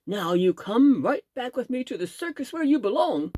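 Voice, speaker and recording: A woman voicing a stern circus man who speaks in a low, commanding way in a storybook reading. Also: big pitch swings, moderate pace, mellow, no room sound. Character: gruff adult male circus man.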